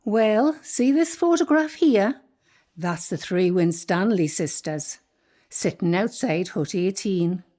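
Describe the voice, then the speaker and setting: A woman playing an older local storyteller in a radio play, gently explaining the past. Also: medium pitch, animated. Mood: reflective. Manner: unhurried, explaining.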